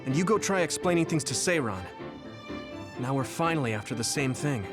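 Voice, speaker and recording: A man's voice: mid-range voice, animated, a little fast, over music, lightly acted. A man voicing an earnest, determined young hero in an English video game dub, speaking resolutely.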